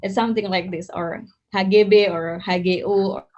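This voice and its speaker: An Indonesian-accented woman moderates an online panel, pausing often and restarting her sentences. Her voice sits at a middle pitch. The video call audio is muffled and lo-fi. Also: fairly even intonation, darkish tone. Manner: hesitant, many fillers and restarts. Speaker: webinar moderator.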